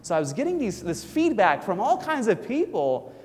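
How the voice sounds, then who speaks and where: A man in his thirties with a fairly high voice gives an earnest motivational talk. He speaks emphatically, now and then breaking off to restart a word. The sound carries a long hall reverb. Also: huge pitch swings, normal speed, a little mellow, a little hiss or hum. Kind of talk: inspirational speech.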